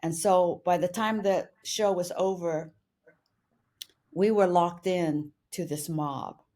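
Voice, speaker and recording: A woman co-hosting a podcast, telling a personal story in an earnest, steady way. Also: fairly low pitch, takes it slowly. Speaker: podcast co-host.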